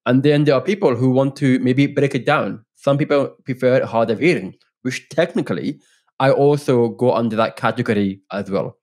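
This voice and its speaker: A man's voice: medium pitch, normal speed, a little mellow. Man with a mixed Scottish-English-Northern Irish accent. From Northern Ireland. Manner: earnest, open, frequent restarts. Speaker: podcast guest. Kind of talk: casual interview conversation. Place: home room with bookshelves. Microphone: studio microphone on boom arm, headphones.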